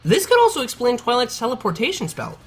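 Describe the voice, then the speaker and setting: Excitable pony scientist, acted, animated pitch, nerdy, precise, scripted science explainer, music behind. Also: fast talker, husky. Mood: excited. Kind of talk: scripted educational dialogue.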